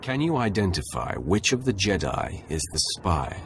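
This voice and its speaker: An actor voicing a menacing, calm Sith warrior in a video game, commanding and deliberate. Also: male voice, background noise. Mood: cool, commanding. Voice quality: deep, gravelly. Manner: deliberate, commanding, coolly confident.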